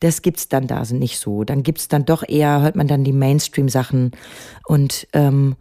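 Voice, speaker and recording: A middle-aged woman songwriter talking thoughtfully in a relaxed interview, often pausing to search for words. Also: a bass voice. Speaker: songwriter and musician.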